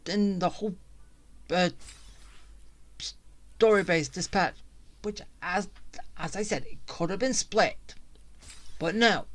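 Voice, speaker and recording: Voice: medium pitch, slow pace, long pauses. A game streamer giving casual, rambling and grumbling commentary while playing. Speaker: gaming streamer.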